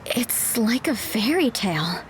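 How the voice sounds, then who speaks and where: An actress playing an earnest young hero full of wonder in a video game. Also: female voice, on the high side, sing-song, unhurried, noisy background. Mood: awed. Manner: wonder-filled, sincere. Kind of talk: video game character lines.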